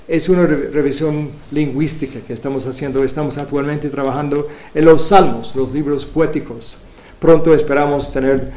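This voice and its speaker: Man, non-native Spanish speaker, slightly high and mellow, halting church talk with frequent restarts, echoey room. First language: not Spanish. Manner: halting, many restarts and fillers. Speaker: Bible translation coordinator. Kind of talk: church presentation.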